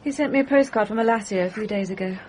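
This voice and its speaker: A composed, well-bred young lady in a radio mystery played by an actress, speaking at a medium female pitch. She is formal, reserved and coolly polite, with faint noise behind her. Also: very fast, a little mellow, natural voice. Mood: cool, restrained. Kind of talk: radio mystery drama acting.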